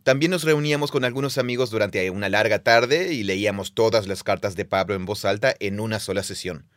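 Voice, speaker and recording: A man co-hosting a podcast, telling personal stories about Bible reading in a thoughtful, measured way. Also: on the low side, a bit bright, dry recording, clean studio sound. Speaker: podcast co-host, Bible teacher. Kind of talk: casual conversation, storytelling.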